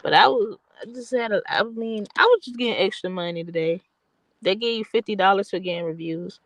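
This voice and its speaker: A woman with a soft, quiet voice, sounding tired and a little stuffy. She rambles in loose, restarting sentences. Her voice is dry and close, with no room around it. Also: medium pitch, a little fast, dry recording, poor audio. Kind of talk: casual late-night chat.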